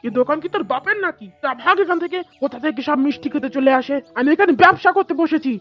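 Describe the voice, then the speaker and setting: A woman's voice: high-pitched, quick pace, close to an everyday voice. A woman voicing an arrogant, stingy village sweet-seller, a scornful man in a cartoon story. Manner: scornful, scolding. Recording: dull lo-fi cartoon audio with music.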